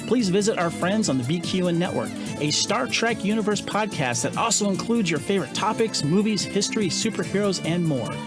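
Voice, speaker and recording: Male promo announcer, fairly high voice, scripted read over clear music. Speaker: podcast network announcer.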